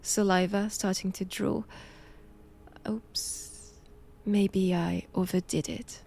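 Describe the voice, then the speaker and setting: A woman with a French accent playing a cold, haughty nun in an intimate roleplay audio drama, speaking deliberately and often dropping toward a whisper. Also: medium pitch, little pitch movement, creaky, a little mellow, a little hiss or hum. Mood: fascinated, probing. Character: cold, imperious nun examining a captive vampire.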